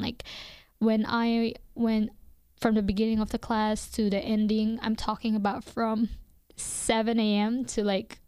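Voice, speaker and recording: A young woman hosts her own radio show, speaking English with a noticeable foreign accent. Her fairly high voice is smooth and clean, and she reflects aloud at an easy pace, full of fillers and restarts. The recording is dry and close, with no room sound. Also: a little mellow, no echo. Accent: non-native English accent. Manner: hesitant, many fillers and restarts. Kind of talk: reflective casual monologue.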